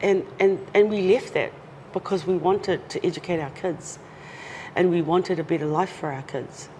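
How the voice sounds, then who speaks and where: A woman's voice: fairly low pitch, moderate pace, a little mellow. A woman guest talking earnestly and carefully in an interview. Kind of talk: casual interview talk. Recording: long reverb, faint noise.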